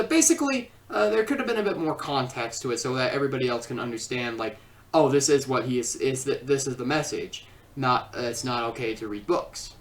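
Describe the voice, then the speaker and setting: A film reviewer giving an informal critique in a halting way, often repeating and rephrasing, recorded at a distance from the microphone. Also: mid-range voice. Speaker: video reviewer and host. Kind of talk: informal scripted film review with ad-libbed asides.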